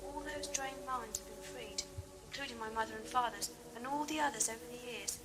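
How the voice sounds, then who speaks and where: A woman playing a proud, sharp-tongued young alien in an audio drama, matter-of-fact and cutting. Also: a little high, fairly quick, natural voice. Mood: grave, matter-of-fact. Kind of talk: fan audio drama acting. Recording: noisy old cassette, ambience behind.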